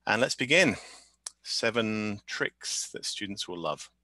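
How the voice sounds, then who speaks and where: A man's voice: lowish voice, lively intonation, takes it slowly, pushed and bright, no echo. A man presenting a webinar for language teachers over a video call, friendly and thinking aloud, with fillers and repeated words. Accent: non-Canadian English. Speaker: webinar speaker, teacher trainer.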